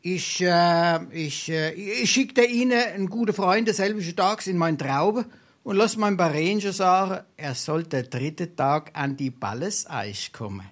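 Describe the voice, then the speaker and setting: An actor playing a boastful, down-at-heel tippler in a stage comedy, grandiloquent and halting, in a Darmstadt Hessian accent. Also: male voice, sits high, lively intonation. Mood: boastful, excited. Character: boastful, down-at-heel male tippler spinning tall tales. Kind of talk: theatrical dialect comedy acting.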